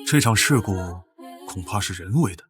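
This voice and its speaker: A man's voice: fairly low pitch, lively intonation, normal speed, faint music behind, close to an everyday voice. A man playing a cocky, blunt war-god warrior, loyal and scornful, in a fantasy drama. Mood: grave. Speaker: voice actor in a fantasy short drama.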